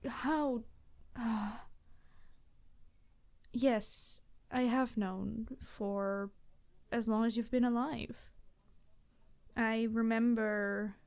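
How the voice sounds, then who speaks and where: A woman's voice: a little high, darkish tone. A woman voicing an embarrassed, centuries-old vampire in an ASMR roleplay, speaking formally and hesitantly, earnest and apologetic to the listener. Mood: flustered, hesitant.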